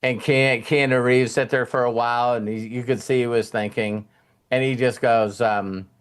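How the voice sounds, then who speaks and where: A man's voice: mid-range voice, steady tone, smooth. A male host talks informally to his online community. His delivery is heartfelt and conversational, and he often stops and repeats a phrase to stress it. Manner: halting, repeats phrases for emphasis. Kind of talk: casual, heartfelt group conversation.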